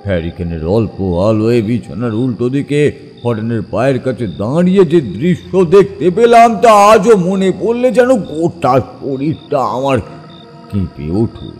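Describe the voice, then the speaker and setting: An actor playing a haunted man retelling a terrifying ghost memory in a horror audio drama, halting and full of dread, with dramatic pauses. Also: mid-range voice, big pitch swings, a little mellow, long reverb tail, soft background music. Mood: tense dread.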